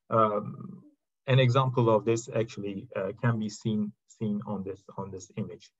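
A man giving a prepared technical slide presentation in a halting way, with frequent uhs between short phrases. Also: medium pitch. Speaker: presenter from a technology company's research and product team. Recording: dull, lo-fi video-call audio.

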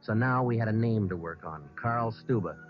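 A man with an American accent playing a terse, deadpan police sergeant in an old-time radio crime drama, both narrating and questioning. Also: fairly low pitch, moderate pace, lightly acted. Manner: clipped, deadpan, matter-of-fact. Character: terse, businesslike male police sergeant narrating his case. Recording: muffled vintage radio recording with music.

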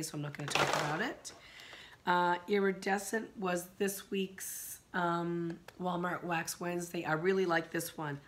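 A middle-aged woman, hoarse from a sinus infection, chatting casually about candles in her living room, rambling with many ums and restarts. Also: lowish voice, fairly bright tone, a little hiss or hum. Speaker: vlogger. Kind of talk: casual chat reviewing candles.

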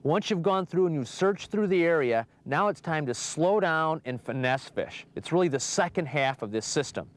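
A man's voice: fairly high pitch, animated, moderate pace, hardly any pauses. A man in his thirties hosting an instructional fishing show from a boat on a lake, upbeat and coaxing as he talks the viewer through his method. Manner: upbeat, coaxing the fish while reeling. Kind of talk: instructional outdoor fishing talk. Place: small boat on an open lake outdoors.

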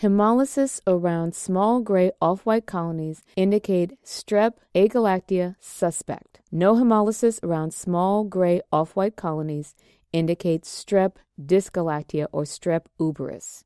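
Mellow woman narrating lab steps. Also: lowish voice, smooth, no room sound, studio quality. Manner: steady, measured, step-by-step explaining. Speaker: extension educator. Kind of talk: scripted instructional narration.

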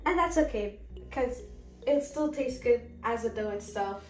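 A young woman demonstrating a bake, explaining each step plainly in a relaxed way. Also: on the high side, unhurried. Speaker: guest cook. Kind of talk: casual kitchen chat. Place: home kitchen. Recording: distant voice in echoey kitchen.

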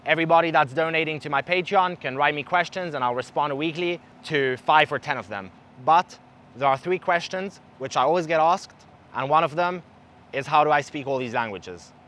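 A young man with a mixed English accent, partly South African, talking casually and animatedly to the camera on an outdoor balcony at night. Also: a little high. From Israel. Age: young adult. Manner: animated, gestures with his hands. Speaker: travel vlogger.

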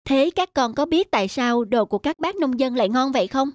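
A woman voicing a gentle, patient schoolteacher in a children's cartoon, speaking in a clear, instructive way. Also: high-pitched, lively intonation, brisk, no echo, clean studio sound. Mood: gentle teaching. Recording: music behind the voice.

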